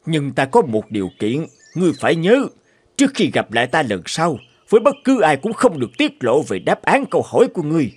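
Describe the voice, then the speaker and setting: A man's voice: a little high, big pitch swings, a little fast, husky, no room sound. An actor playing an imperious, bullying cartoon king who scolds and threatens haughtily. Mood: commanding. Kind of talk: dubbed cartoon fairy tale acting. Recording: music behind the voice.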